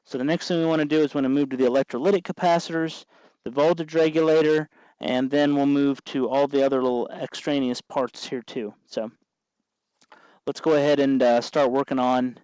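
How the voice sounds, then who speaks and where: A man hosting an informal how-to tutorial, explaining step by step in an unhurried way as he works with his hands. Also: medium pitch, steady tone, a little mellow, clear, resonant tone, no room sound, lo-fi sound. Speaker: host of a DIY electronics tutorial.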